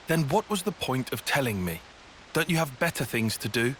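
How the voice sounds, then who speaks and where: An actor playing a guarded, dry-witted young soldier in a video game cutscene, sounding sardonic. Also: male voice, mid-range voice, very animated, moderate pace, fairly bright tone, slight background noise.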